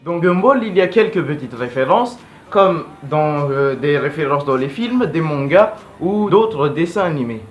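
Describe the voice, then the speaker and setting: A man's voice: fairly high pitch, animated, moderate pace, soft background music. A male host giving casual, rambling and blunt fan commentary in an informal vlog. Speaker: video host.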